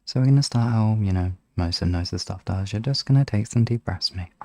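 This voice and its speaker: A man hosting a live ASMR hypnosis session, guiding relaxation in a soft, soothing, gently instructing manner. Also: fairly low pitch, a little fast.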